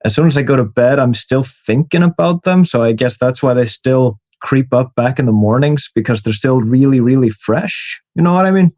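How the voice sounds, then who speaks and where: A man's voice: medium pitch, normal speed, few pauses, darkish tone, no echo. Man, close dry sound. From Iceland. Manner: informal, swears freely. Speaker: recurring podcast guest, horror writer. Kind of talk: casual chat, personal anecdotes.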